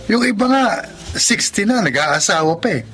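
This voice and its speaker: A man's voice: high voice, animated, moderate pace, fairly bright tone, soft background music. A man acting a frank, reasonable young boyfriend in a Filipino drama, chiding as he reasons. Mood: chiding, reasoning.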